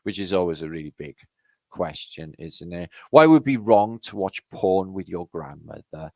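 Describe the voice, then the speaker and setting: Welsh male stream host, middle-aged, low gravelly voice, dry close sound, rambling and sweary. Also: expressive, normal speed, dark tone, dry recording. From Wales. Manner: rambling, swears a lot, greets chat names. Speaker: livestream host. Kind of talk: casual live-chat banter.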